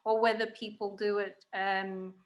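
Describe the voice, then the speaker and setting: A woman's voice: mid-range voice, flat delivery, a little slow. A woman hosting a casual interview, chatting informally as a writer with her guest.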